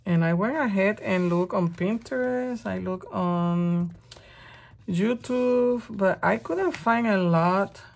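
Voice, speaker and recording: Raspy-voiced woman with a cold. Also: medium pitch, darkish tone. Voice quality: rough, raspy voice. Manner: slow, chatty, thinking aloud while working. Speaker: crafting channel host. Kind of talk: casual craft tutorial talk.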